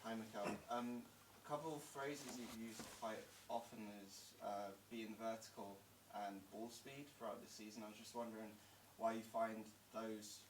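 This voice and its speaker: A sports journalist with a lowish voice asks curious, casually phrased questions. He sounds far off in an echoing room, and clear noise sits under his voice. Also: fairly even intonation, normal speed, hardly any pauses. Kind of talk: press conference questions. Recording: echoey room from a distance, noisy.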